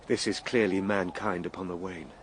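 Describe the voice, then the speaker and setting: Earnest, formal Victorian time traveller, noisy backdrop. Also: male voice, low voice. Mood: grave musing. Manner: declamatory, formal, spoken as a live log. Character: earnest Victorian time traveller, male, observant, excitable, quick to panic. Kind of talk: dramatised radio play monologue.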